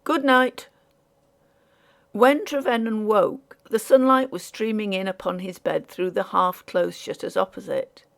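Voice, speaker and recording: A woman narrating an audiobook story in a measured, formal storytelling manner. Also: medium pitch, fairly even intonation, vocal fry.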